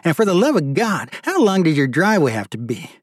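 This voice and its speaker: A man's voice: medium pitch, a little slow, dry recording, clean studio sound, natural voice. A man voicing an agitated, impatient older brother with a thick Manhattan accent, whining and incredulous. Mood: exasperated. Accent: New York (Manhattan). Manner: incredulous, whiny, exasperated.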